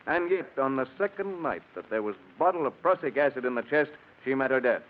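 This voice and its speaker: A man's voice: mid-range voice, lively intonation, fairly quick, close to an everyday voice. Man acting stern district attorney, tinny old radio. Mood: stern, official. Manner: formal, probing, authoritative. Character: stern, skeptical male district attorney questioning a suspect. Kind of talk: 1940s radio drama acting. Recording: thin, phone-like old radio recording.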